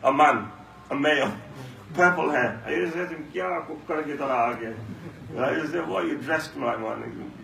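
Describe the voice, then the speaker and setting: A man's voice: a little high, moderate pace, creaky, few pauses, pushed and bright. A man giving a Ramadan talk at a mosque, telling stories and repeating lines for emphasis. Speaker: mosque speaker. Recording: echoey room, mic far off, faint hum.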